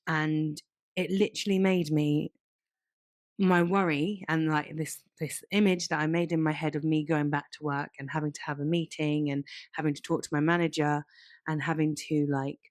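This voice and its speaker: Low-voiced woman in casual podcast chat. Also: no echo, clean studio sound. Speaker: podcast co-host.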